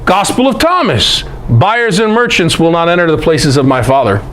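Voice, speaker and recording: Man, high-pitched, very animated intonation, scholarly lecture, emphatic, conversational, folksy asides, background noise. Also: moderate pace. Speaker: presenter and Bible teacher.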